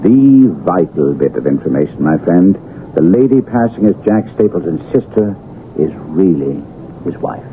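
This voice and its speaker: A tinny old radio broadcast with faint hiss carries a commanding detective. His low voice swoops theatrically, precise and sure of itself. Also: animated, moderate pace. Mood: grave, assured. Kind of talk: 1970s radio drama acting. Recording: muffled, narrow-band vintage radio, faint hiss.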